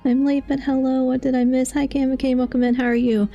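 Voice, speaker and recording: A woman's voice: high-pitched, flat delivery, fairly quick, vocal fry, dark tone, dull audio, faint music behind. A woman livestreamer chatting casually while she plays a game, in a rambling, self-amused way. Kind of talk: casual chat while playing.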